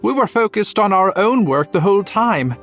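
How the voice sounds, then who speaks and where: A woman's voice: mid-range voice, expressive, normal speed, soft background music, natural voice. A woman voicing an earnest kitchen staff member in a video game, explaining things plainly. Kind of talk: video game story acting.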